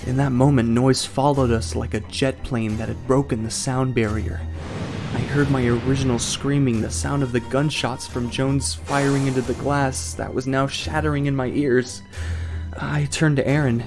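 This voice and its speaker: A man acting an anguished family man in a fiction podcast, narrating in the first person with halting, emotional delivery. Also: fairly high pitch, darkish tone, lightly acted. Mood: shaken, intense. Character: anguished family man trapped in frozen time. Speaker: narrator and lead actor in an audio drama.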